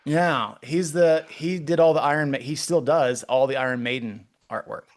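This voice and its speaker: A man in his forties from Texas with a Texan accent, a guest artist chatting casually in an interview, rambling and restarting his sentences often. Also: a little high. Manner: rambling, halting, many restarts.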